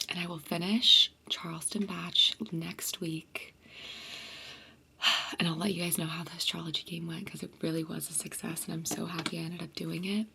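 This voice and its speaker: A woman's voice: lowish voice, creaky, bright, forceful tone, a little hiss or hum. A young woman vlogger whispering a casual, chatty storytime to her viewers. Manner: chatty, many fillers.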